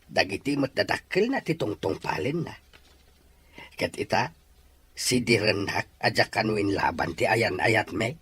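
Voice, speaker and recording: A man's voice: fairly low pitch, expressive, unhurried, a bit bright, breathy edge, exaggerated voice. A man playing a heartbroken, poor middle-aged father in an Ilocano audio drama, pleading and regretful. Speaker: voice actor in a radio-style drama. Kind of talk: Ilocano radio drama acting.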